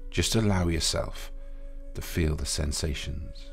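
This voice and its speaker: A man with a deep, low voice leads a guided meditation. He speaks soothingly and without hurry, leaving pauses between short phrases. Soft music plays faintly behind him. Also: vocal fry.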